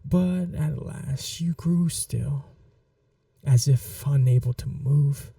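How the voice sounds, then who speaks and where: A woman acts out a domineering vampire mistress in an intimate ASMR roleplay. Her voice is very dark and soft, often a breathy whisper, recorded close and dry. She draws her words out slowly, coaxing and condescending, possessive and seductive. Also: rumbling low, dry recording, distant mic, exaggerated voice. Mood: wistful, possessive.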